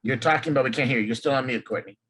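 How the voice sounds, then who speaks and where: A man's voice: mid-range voice, rapid-fire, a bit bright, slightly rough. A man from Los Angeles hosting an online workshop, cheerfully calling on each participant by name. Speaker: workshop host. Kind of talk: video call introductions. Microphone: video call.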